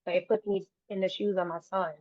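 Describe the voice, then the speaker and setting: A woman's voice: mid-range voice, level tone, fairly quick, dry recording. A woman guest talking earnestly in a casual interview, restarting and repeating phrases as she goes. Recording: dry, tinny phone-like line.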